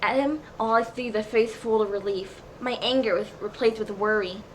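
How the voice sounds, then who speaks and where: A woman's voice: fairly high pitch, normal speed. A woman narrating a comedic dramatic reading of fan fiction in a mock-serious storybook manner. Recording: clearly noisy home recording.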